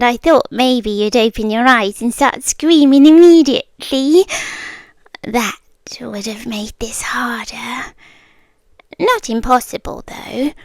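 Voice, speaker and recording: British woman doing a creepy sweet yandere girlfriend asmr, slow n whispery, super close dry mic, coy and possessive. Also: high-pitched, no room sound, exaggerated voice. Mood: relieved, nervous excitement. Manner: coy, doting, unsettlingly calm, breathy whispering. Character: obsessive yandere young woman, sweetly menacing kidnapper girlfriend. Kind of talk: ASMR roleplay, intimate soft-spoken acting. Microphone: close microphone, dry.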